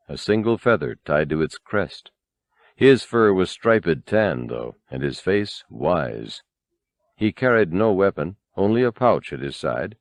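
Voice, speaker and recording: Male narrator for adventure fiction: lowish pitch, animated range, measured dramatic delivery, dry sound. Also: no echo. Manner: expressive, measured storytelling. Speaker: audiobook narrator. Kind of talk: scripted dramatic narration of a novel.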